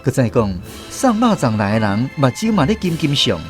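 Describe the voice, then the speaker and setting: A man's voice: mid-range voice, very animated, moderate pace, faint music behind, close to an everyday voice. A man with a Taiwanese accent narrating a radio drama as a reminiscing storyteller. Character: reminiscing male storyteller of a touring troupe.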